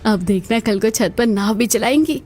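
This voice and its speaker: A woman's voice: a little high, moderate pace, a little mellow, natural voice. A woman voicing housewives and mothers-in-law in a family cartoon, chatty and exclamatory. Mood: grumbling. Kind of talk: scripted cartoon dialogue.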